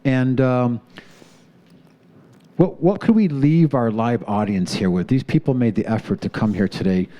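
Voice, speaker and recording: A man's voice: medium pitch, a little slow, highs cut off. A man hosting a live community TV talk show, upbeat, thanking the crew and introducing segments. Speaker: show host. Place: studio with live audience.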